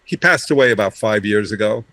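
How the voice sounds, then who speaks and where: A man's voice: fairly low pitch, lively intonation, a little fast, sharp tone, dry recording. A middle-aged man, born in Romania and raised in Brooklyn, telling brash, joking stories as an interview guest. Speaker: interview guest, ad creative director. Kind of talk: casual storytelling.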